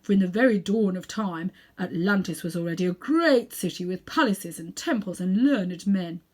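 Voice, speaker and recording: A woman's voice: lowish voice, normal speed, lightly acted. A woman plays an elderly magician uncle from a children's storybook. He is sinister and full of himself, talking down to his listener with pompous, heavily stressed phrases. He takes offence easily. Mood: boastful, secretive. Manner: pompous, condescending, emphatic.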